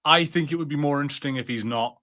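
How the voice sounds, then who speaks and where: Bright-voiced man in casual podcast chat. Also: fairly high pitch. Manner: acts out imagined lines, halting starts. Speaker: podcast co-host.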